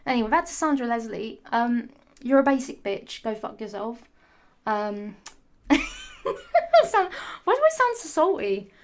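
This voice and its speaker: A young woman talks to camera in a loose, rambling monologue, her voice rough and hoarse. She is sassy and swears freely, often restarting her sentences mid-thought. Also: on the high side, very animated, measured pace, slight background noise. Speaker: vlogger.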